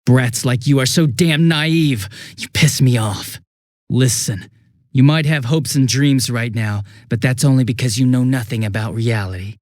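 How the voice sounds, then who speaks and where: An American man playing a cynical, bitter young villain in a video game, with a husky voice and a flippant, contemptuous manner. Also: medium pitch, unhurried, darkish tone, no room sound, clean studio sound, close to an everyday voice. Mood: bitter contempt. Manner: flippant, mocking, contemptuous drawl. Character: cynical, bitter, sneering young male villain turning unhinged.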